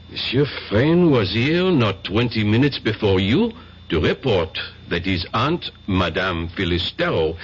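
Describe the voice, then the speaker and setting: A fussy French police inspector. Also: male voice, on the low side. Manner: dismissive, fussy, halting. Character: pompous French police inspector, middle-aged man. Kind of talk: 1970s radio drama acting. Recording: dull old radio broadcast with faint hiss.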